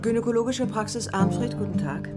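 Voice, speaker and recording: A woman's voice: fairly high pitch, brisk, loud music behind the voice, lightly acted. An actress playing a curt, officious female receptionist at a medical practice in a radio drama, clipped and formulaic. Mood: businesslike, dismissive.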